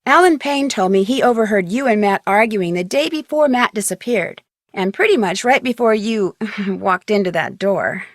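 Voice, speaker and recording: A woman's voice: medium pitch, lively intonation, normal speed, no room sound, clean studio sound, close to an everyday voice. An actress playing a friendly, inquisitive young female detective, speaking upbeat video game narration and dialogue.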